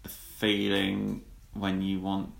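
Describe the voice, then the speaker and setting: A man co-hosting a podcast, making dry deadpan quips in casual chat. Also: deep voice, takes it slowly, vocal fry. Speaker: podcast co-host. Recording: echoey room.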